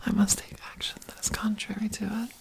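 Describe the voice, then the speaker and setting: A woman whispering in an earnest ASMR talk, breathy, with giggly asides. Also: medium pitch, flat delivery, fairly quick. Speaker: ASMR creator.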